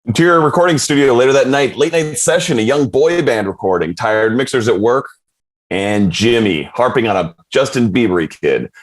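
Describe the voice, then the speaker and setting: A man's voice: medium pitch, lively intonation, moderate pace. A man reading screenplay stage directions in a punchy, vivid way. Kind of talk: scripted screenplay narration.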